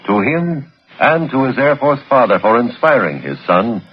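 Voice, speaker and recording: Husky, animated American radio announcer, male. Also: mid-range voice, normal speed. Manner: formal, earnest delivery. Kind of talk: 1960s radio scripted narration. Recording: dull old radio recording, faint music.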